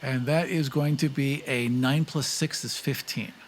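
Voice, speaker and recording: A man's voice: medium pitch, fairly even intonation, a little slow. Male tabletop player thinking aloud, faint noise. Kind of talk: casual, improvised description of play.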